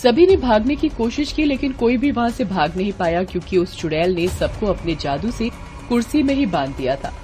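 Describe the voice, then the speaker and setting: Animated woman storyteller over music. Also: medium pitch, normal speed. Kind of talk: dramatic scripted narration of children's horror tales.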